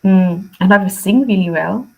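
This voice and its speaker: A woman from Malaysia with Malay as her first language talks in a casual interview. She has a mid-pitched voice and a chatty, emphatic way of speaking, as a teacher telling about herself. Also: moderate pace, vocal fry, mellow, rough recording. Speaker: primary school teacher, interview guest.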